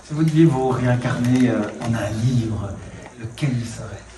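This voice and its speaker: Male interviewer from Belgium, mid-pitched, polite short questions; roomy, far off, noisy. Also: moderate pace. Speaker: literary interviewer. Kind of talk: festival interview. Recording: roomy, distant, noisy venue.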